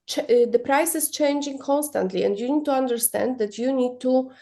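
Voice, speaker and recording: High, mellow, didactic female educator. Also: normal speed. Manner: didactic, emphatic, repeats key points. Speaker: interviewed crypto educator. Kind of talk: explanatory interview advice.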